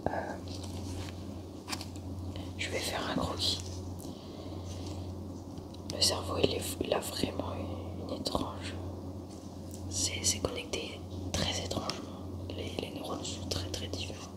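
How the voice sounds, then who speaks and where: A young woman, whispering softly and haltingly in an ASMR roleplay. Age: young adult.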